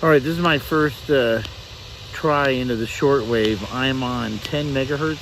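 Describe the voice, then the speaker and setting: Male hobbyist, casual gadget demo, thinks aloud haltingly, noticeable ambient noise behind the voice. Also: mid-range voice. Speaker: hobbyist reviewer demonstrating a shortwave radio. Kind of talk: casual hands-on demonstration.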